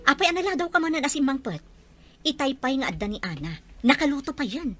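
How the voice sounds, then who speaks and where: A strict, reproachful mother, acted by a woman in a radio drama. Her voice sits fairly high and moves up and down a lot. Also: expressive, brisk, fairly bright tone, soft background music. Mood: scolding. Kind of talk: Filipino radio drama acting.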